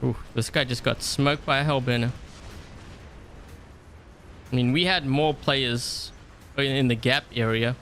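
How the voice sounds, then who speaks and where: A man's voice: mid-range voice, steady tone, a little slow, long pauses, a bit bright, a little hiss or hum. A man from South Africa commentating his own game live, chatting and thinking aloud with lots of fillers. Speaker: game streamer. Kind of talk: casual live-stream gameplay commentary.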